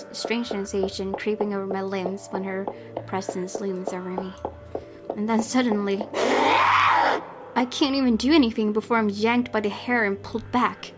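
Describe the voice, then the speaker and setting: A woman's voice: mid-range voice, little pitch movement, unhurried, very noisy. A woman let's player reading horror game narration aloud, with casual reactions of her own.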